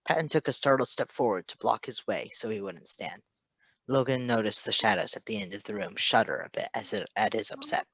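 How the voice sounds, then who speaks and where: A man's voice: fairly low pitch, a little fast, fairly bright tone, slightly rough, no echo. Man narrating fiction, close dry sound. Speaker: podfic reader.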